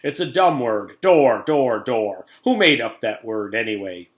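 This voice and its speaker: A man's voice: mid-range voice, sing-song, measured pace. A man voicing a pompous, blustering school principal in a storybook, with an emphatic, declamatory delivery. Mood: loud, declaring.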